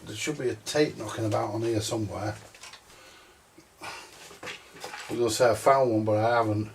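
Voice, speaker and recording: Male repairer, casual workbench vlog. Very gravelly, fry-laden delivery, muttering through each step, noisy recording. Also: lowish voice, fairly even intonation, moderate pace. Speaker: vintage audio repairer presenting a workbench video. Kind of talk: casual thinking-aloud repair commentary. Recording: clear background noise, clicks.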